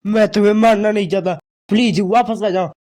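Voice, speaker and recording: A man's voice: high-pitched, fairly even intonation, a little fast, noisy background, natural voice. A man voicing an earnest, loyal young ninja hero who appeals to his rival, in an amateur anime fan dub. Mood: pleading.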